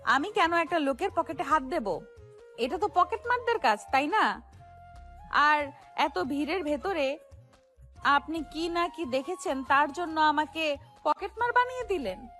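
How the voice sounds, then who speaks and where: A woman's voice: high-pitched, expressive, unhurried, long pauses, bright, forceful tone, soft background music, full character voice. A woman playing a brash, defiant young pickpocket gang boss in an audio romance story, sassy, slangy and argumentative. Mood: indignant, defensive. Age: young adult.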